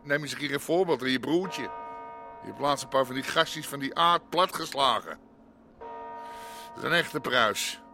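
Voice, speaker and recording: Blunt underworld boss, animated. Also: male voice, mid-range voice, unhurried, long pauses, soft background music. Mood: scornful, boastful. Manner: blunt, streetwise, dismissive. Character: proud old-school Amsterdam underworld boss and father, gruff. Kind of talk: naturalistic radio drama acting.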